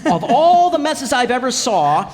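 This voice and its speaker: A man's voice: very high voice, sing-song, unhurried, full character voice. A man playing a cocky, blustering husband who mangles his grammar in a folksy old-time radio comedy style. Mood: cocky, rambling. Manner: folksy, bungled grammar, wisecracking. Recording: echoey hall stage recording.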